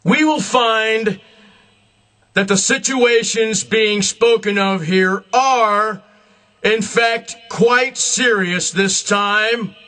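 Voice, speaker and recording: Very high-pitched man, slow solemn proclamation. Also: bright, forceful tone, soft background music. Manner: grandiose, emphatic proclamation in deliberate short phrases. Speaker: self-proclaimed religious leader. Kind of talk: solemn scripted public religious address.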